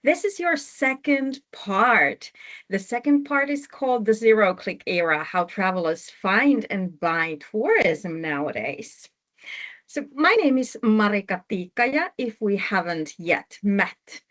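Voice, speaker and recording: A woman's voice: medium pitch, expressive, measured pace, fairly bright tone. A woman from Finland, a project manager, opening and hosting an online webinar, speaking unhurriedly with frequent fillers. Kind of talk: webinar introduction.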